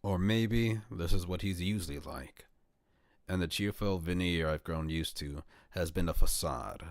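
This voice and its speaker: A young man with a very low, deep voice reads a visual novel aloud in the first person. His delivery is calm and even, close to a home desk mic with a dry, dead sound. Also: unhurried, no room sound. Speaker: VTuber streamer reading a visual novel.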